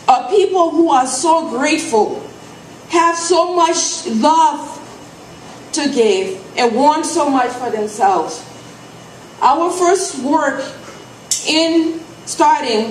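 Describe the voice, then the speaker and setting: A woman from Liberia giving a talk about her nonprofit at a live event, earnest and halting, with restarts and repeated phrases. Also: high-pitched, slight background noise. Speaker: nonprofit founder and presenter. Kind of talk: speech at a live presentation event. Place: event venue with an audience. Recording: echoey room, from far off.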